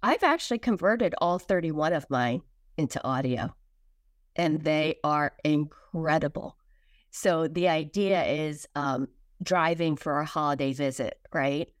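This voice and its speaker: A woman author answering as an interview guest, phrasing her points deliberately and emphatically in short, repeated pieces. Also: low voice, dry recording, clean studio sound.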